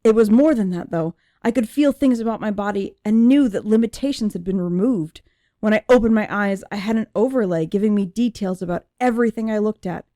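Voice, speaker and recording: A woman voicing an anguished young female android who is searching for herself, in emotive, deliberate first-person audiobook narration. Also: medium pitch, mellow, dry recording, studio quality, lightly acted. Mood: tense, awed.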